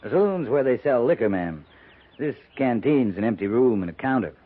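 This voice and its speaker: A calm American cavalry captain in an old radio western. He is dry and understated, polite with a sly edge. The voice is mid-pitched on a thin, narrow-band recording. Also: a little fast, breathy edge. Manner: dry, understated, courteous but needling. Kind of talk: 1950s radio drama acting.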